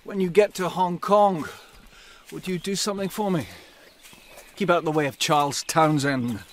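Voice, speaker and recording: A man's voice: a little high, normal speed, slight background noise, close to an everyday voice. Man playing a world-weary customs man, faint ambience. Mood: candid, concerned. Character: world-weary, kindly colonial customs man, gently philosophical. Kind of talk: radio drama acting.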